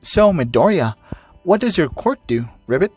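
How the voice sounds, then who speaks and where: A man's voice: fairly high pitch, big pitch swings, moderate pace, a little mellow, husky, no room sound, faint music behind. A man voicing a friendly, frank teenage frog-girl in a story, plain and direct. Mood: friendly, curious.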